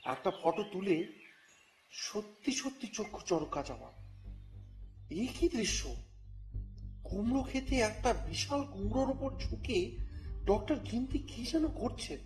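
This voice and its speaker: Audio story lead: wry, exasperated reporter telling his detective tale, high-pitched male, music bed behind. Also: lively intonation, a little slow, pauses often, darkish tone. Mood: puzzled, grumbling. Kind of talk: dramatized first-person story narration.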